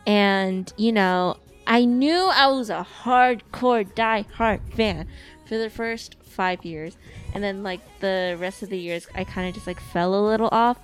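A woman's voice: medium pitch, clear, resonant tone. A woman chatting casually on a podcast, rambling with many fillers and restarts. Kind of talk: casual chat.

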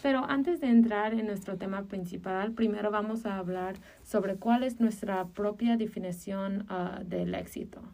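Off-mic young female podcast co-host, relaxed mellow timbre, casual delivery with frequent fillers. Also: medium pitch, moderate pace, hardly any pauses. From Chicago, United States. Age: early 20s. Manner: hesitant, filler-heavy phrasing. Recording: distant from the microphone.

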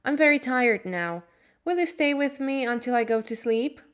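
A woman's voice: sits high, lively intonation, normal speed, clear, resonant tone, voice pushed up. A woman voicing a sickly, self-pitying young boy in a storybook, with a whining, fretful manner. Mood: tired, calmer. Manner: whining, tearful, indignant.

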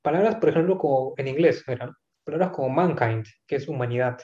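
Guy musing out loud, lots of restarts, mellow tone, dull roomy recording. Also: medium pitch, fast talker. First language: not English. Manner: thoughtful, hesitant, many asides and restarts. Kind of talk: casual conversation. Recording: echoey room, dull lo-fi sound.

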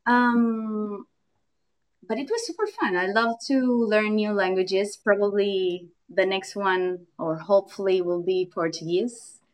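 A woman's voice: mid-range voice, slow. A young adult woman from Argentina with an Argentine Spanish accent, speaking English hesitantly with many fillers in casual chat. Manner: hesitant, frequent fillers and laughter. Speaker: guest, yoga teacher.

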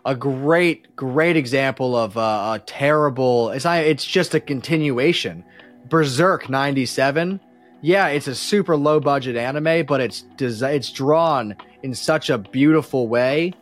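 Male podcast guest, mid-pitched, casual and opinionated, rambling with enthusiasm, music present. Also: a little slow.

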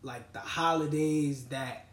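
A man's voice: on the high side, fairly even intonation, takes it slowly, recorded from a distance. A man, hosting a casual podcast chat in laid-back street slang. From New York. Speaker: podcast host. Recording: echoey room, off mic.

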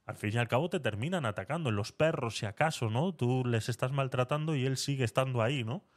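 A man's voice: medium pitch, fast talker. A man hosting a live stream, chatting casually and reading viewer comments aloud. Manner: reads viewer comments aloud, laughs often. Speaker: host reading live chat.

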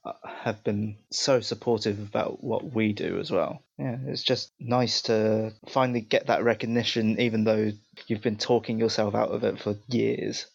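A man hosting a casual podcast interview, speaking informally in halting, conversational phrases. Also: lowish voice, fairly even intonation, creaky, husky. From Chinese heritage with Hong Kong ties. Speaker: podcast host. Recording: slightly dull, lo-fi sound.

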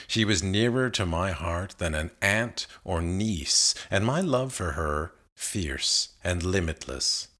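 A man reading a narrative poem aloud as a scripted literary recitation, in a measured and carefully enunciated manner. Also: low-pitched, a bit bright. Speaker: poetry reader.

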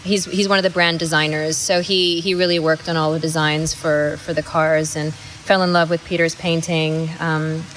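A woman's voice: sits low, little pitch movement, moderate pace, hardly any pauses, a bit bright, a little hiss or hum, low-quality recording. A woman talking casually in an interview, hesitant, with many restarts. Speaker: studio manager.

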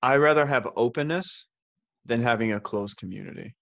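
A man's voice: medium pitch, animated, moderate pace, gravelly, a bit bright, no echo, highs cut off. A man from the United States co-hosting a podcast, talking casually and emphatically, repeating himself and joking. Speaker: podcast co-host. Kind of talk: casual conversation.

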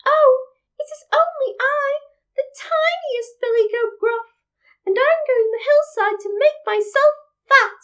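A woman's voice: unhurried, a bit bright. A woman voicing a tiny, timid young billy goat for a storybook, in a small, pleading voice. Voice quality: small, squeaky voice.

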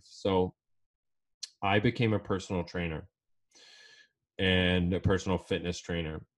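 A man in his thirties with a very low voice. He is a guest on a podcast and tells his story in a relaxed, rambling way, full of ums and likes. He is heard over a remote call. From Canada. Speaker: podcast guest, life coach. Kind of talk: casual interview talk.

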